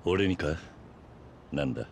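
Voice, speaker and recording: An actor playing a stoic, weary middle-aged tough guy in a video game, terse, gruff and restrained. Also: low-pitched, expressive, a little slow, full character voice. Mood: calm. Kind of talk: video game cutscene acting.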